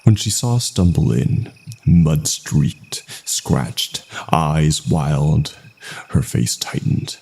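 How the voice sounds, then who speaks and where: A man reading a scripted first-person horror story as narrator, in a hushed manner with dramatic pauses. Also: animated. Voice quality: very deep, gravelly, breathy.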